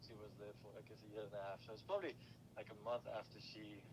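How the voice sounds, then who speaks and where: A man from South Africa with a South African accent and Afrikaans as his first language, answering interview questions thoughtfully with many pauses and fillers. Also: on the low side, fairly even intonation, background noise.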